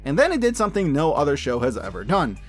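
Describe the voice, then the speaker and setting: Male reviewer, very lively intonation, punchy casual enthusiasm, scripted commentary over background music. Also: a little high, fast. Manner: enthusiastic, emphatic, casual and profane. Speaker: host of a media review channel.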